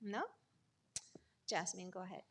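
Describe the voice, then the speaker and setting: A woman's voice: lowish voice, steady tone, measured pace, a bit bright. A woman hosting a symposium, formally introducing speakers as if reading from notes.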